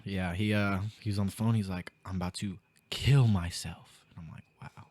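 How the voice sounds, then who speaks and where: A man's voice: deep voice, steady tone, normal speed, darkish tone, dry recording. A man in his twenties chatting on a podcast, telling rambling stories about his job. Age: 20s. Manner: laid-back, rambling storyteller, restarts often. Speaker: guest. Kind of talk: casual podcast chat.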